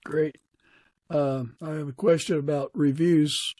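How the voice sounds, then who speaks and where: A man's voice: fairly high pitch, steady tone, unhurried, darkish tone, no room sound. A man speaking as the host of an interview podcast. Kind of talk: casual interview hosting.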